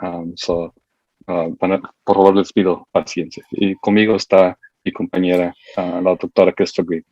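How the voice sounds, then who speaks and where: Halting middle-aged man, dull dry sound. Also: deep voice, little pitch movement, a little mellow, no room sound. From United States. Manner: halting and hesitant, full of fillers, admits to nerves. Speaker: university education researcher presenting at a seminar. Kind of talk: seminar presentation.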